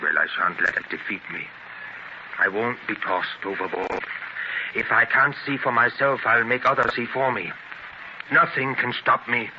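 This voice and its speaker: A man acting in a 1930s radio drama as a proud, ailing, half-blind newspaper publisher, speaking forcefully and emphatically. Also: mid-range voice, moderate pace, muffled recording, close to an everyday voice. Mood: defiant. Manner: declamatory, emphatic. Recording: scratchy, noisy 1930s radio transcription.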